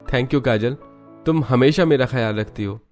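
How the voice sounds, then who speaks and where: A man's voice: mid-range voice, normal speed, darkish tone, lightly acted. A man voicing an easygoing man of the household in a cartoon story. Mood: pleased. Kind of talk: Hindi cartoon story dialogue. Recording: music under the voice.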